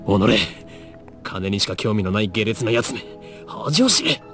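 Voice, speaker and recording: A man's voice: lowish voice, expressive, fast talker, a bit bright. A man voicing a pompous, theatrical young mad scientist in a visual novel, grandiose and condescending. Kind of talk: Japanese visual novel voice acting. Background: music.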